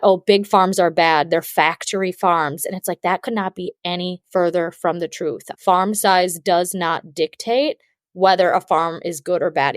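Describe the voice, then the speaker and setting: A young woman dairy farmer co-hosting a casual podcast, blunt and animated, swearing for emphasis. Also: fairly low pitch, no room sound, clean studio sound.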